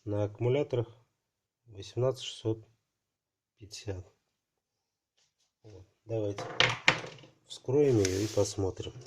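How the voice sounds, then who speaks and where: A man hosting a casual unboxing video, talking haltingly and thinking aloud while his voice is affected by a cold. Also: on the low side, mellow, slight background noise. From Russia. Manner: halting, thinking aloud, many fillers like "so" and "there". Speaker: channel host unboxing parcels.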